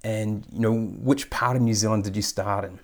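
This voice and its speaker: A man with a lowish voice hosts a podcast. He reads a formal, effusive introduction, then moves on to his questions. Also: fairly even intonation, normal speed. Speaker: podcast host. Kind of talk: scripted guest introduction and interview.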